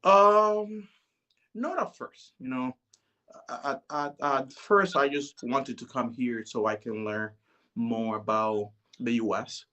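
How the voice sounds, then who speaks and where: A man, telling his life story as an interview guest in a relaxed, hesitant way. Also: mid-range voice, slow pace. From Dominican Republic. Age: 30s. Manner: relaxed, hesitant, many "you know"s.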